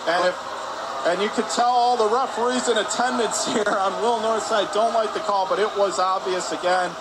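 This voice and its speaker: High-pitched man calling live hockey. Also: steady tone, normal speed. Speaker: play-by-play commentator. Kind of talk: live radio ice hockey play-by-play. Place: indoor ice hockey arena. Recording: noisy arena ambience behind.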